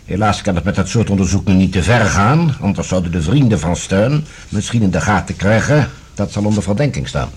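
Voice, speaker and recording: Very husky, commanding general. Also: male voice, low voice, lively intonation, heavily acted. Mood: measured, guarded. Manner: measured, formal, courteous but firm. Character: composed, authoritative male general, head of security service. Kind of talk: Dutch radio drama acting.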